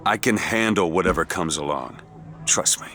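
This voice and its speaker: A man playing a gruff, world-weary, protective hired gun in a video game, with an American accent and a terse, wry manner. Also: low voice, normal speed, slight background noise. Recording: loud music behind the voice. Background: loud music.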